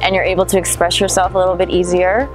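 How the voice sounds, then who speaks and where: A woman's voice: fairly low pitch, level tone, fast talker, poor audio. A woman with a New Jersey accent, a home staging expert speaking confidently and upbeat as a guest in a casual interview, handing out practical tips. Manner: confident, advice-giving, upbeat. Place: indoors on a couch. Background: background music.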